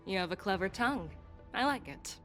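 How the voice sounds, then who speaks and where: Actress as assured female general for a video game: mid pitch, formal, shrewd, probing, music underneath. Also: lively intonation, moderate pace, a bit bright, natural voice. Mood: amused.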